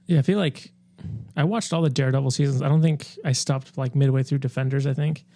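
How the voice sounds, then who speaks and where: Mellow guy on a podcast, slightly high voice, slangy and casual, really dry sound. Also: steady tone, quick pace, dry recording. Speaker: podcast co-host. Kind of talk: casual chat.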